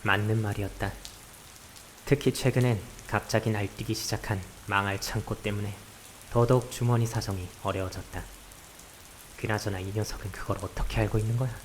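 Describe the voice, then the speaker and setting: A man's voice: fairly low pitch, brisk, natural voice. Visual novel schoolboy done by a guy, dry n grumbly, sighs a lot, bit of rain noise behind. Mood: dry narration. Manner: blunt, dry, sighing and sarcastic. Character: grumbling, exasperated male high school student, reluctant partner. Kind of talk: visual novel voice acting with some first-person narration. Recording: hiss with steady rain behind.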